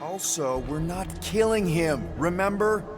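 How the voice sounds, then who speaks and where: A man's voice: high-pitched, expressive, measured pace, music in the background. An actor playing a casual, wisecracking space adventurer who leads his team in a video game. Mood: wry, casual. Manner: casual, glib, hesitant asides.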